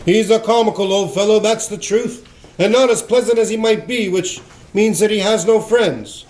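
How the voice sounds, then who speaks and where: Guy playing a genial young victorian gent, high voice, light and forgiving, echoey radio play. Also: normal speed, a little mellow, close to an everyday voice. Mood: amused. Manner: good-humoured, light. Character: genial, forgiving, cheerful young Victorian gentleman, Scrooge's nephew. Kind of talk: theatrical radio play acting.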